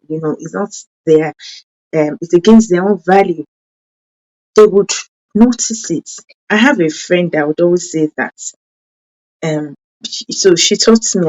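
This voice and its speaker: A woman's voice: darkish tone, highs cut off. Earnest woman, Yoruba-speaking. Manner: earnest, self-correcting, with sudden rises in pitch for emphasis. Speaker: parenting channel host.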